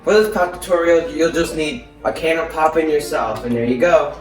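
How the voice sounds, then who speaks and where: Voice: mid-range voice, normal speed. A young adult presenter reading a scripted explainer. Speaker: presenter and play narrator. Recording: echoey, distant from the mic. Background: plucked guitar background music.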